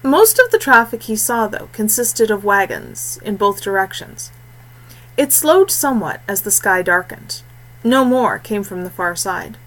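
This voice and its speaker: A woman narrating a scripted fantasy story in a measured, expressive way with deliberate phrasing. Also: mid-range voice, low-quality recording. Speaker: audiobook narrator.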